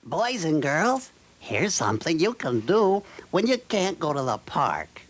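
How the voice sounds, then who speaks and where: Slow, very animated woman presenter. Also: low-pitched. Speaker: children's show presenter. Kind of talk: addressing children on TV.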